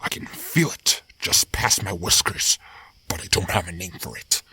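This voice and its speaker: A man's voice: low-pitched, moderate pace, pushed and bright, a little hiss or hum. A man voicing a driven wild animal in a dramatic fandub, intense, urgent and solemn. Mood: earnest, pleading. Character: grim, driven male wild animal with whiskers.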